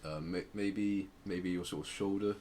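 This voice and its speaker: A man hosting a podcast, talking casually and presenting in a halting, hesitant way. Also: sits low, steady tone, a crowd in the background. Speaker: podcast host. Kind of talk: casual podcast presenting with some read-out passages. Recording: noticeable background noise.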